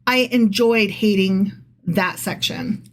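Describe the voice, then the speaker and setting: A woman's voice: medium pitch, takes it slowly. A woman co-hosting a podcast in casual banter, blunt and irreverent, swearing freely. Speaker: podcast co-host.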